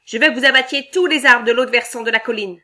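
A woman voicing a mean, mocking male logging boss in a read-aloud storybook, loud and harsh. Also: mid-range voice, slow. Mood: scornful, bossy.

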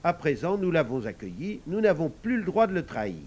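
A lightly raised storybook girl's voice, sensible and a little suspicious, as a man reads her. It sits somewhat above his own pitch and moves in lively turns. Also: a little fast. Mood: thoughtful. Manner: thoughtful, suspicious. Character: sensible, suspicious young girl shopkeeper.

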